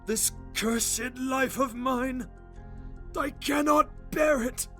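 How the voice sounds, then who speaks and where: A man playing an anguished, imperious young vampire nobleman in a theatrical, breathy audio drama style. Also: very high voice, fairly even intonation, extremely slow, pushed and bright, soft background music, exaggerated voice. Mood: despairing. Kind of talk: dramatic visual-novel style voice acting.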